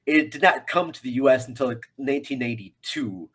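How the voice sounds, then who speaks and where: A man speaking as a guest reviewer in a casual film discussion, halting and repeating himself for emphasis. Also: medium pitch, lively intonation, a bit bright, slightly rough.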